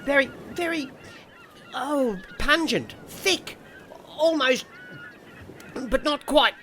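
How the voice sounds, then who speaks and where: A man playing a bawdy, curious castaway in a comic audio drama, with halting, exaggerated reactions. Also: very high-pitched, animated, background noise, full character voice. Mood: relishing disgust.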